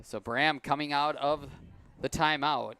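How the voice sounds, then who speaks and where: A man doing play-by-play for a high school football game, calm and unhurried, his voice a bit worn and cracking. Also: smooth, dry recording. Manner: calm, unhurried play calling with easy laughs. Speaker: play-by-play announcer.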